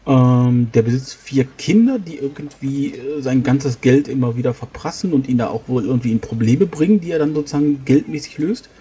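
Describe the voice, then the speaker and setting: A man improvising in-character dialogue in a tabletop role-playing game, explaining things in a halting way. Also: medium pitch, dark tone, slightly rough, muffled recording.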